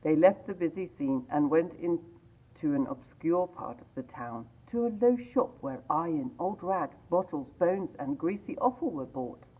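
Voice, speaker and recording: A woman reading a classic story aloud in a measured, expressive way. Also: deep voice, slightly rough. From England. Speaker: library reader. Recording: tinny phone-like sound.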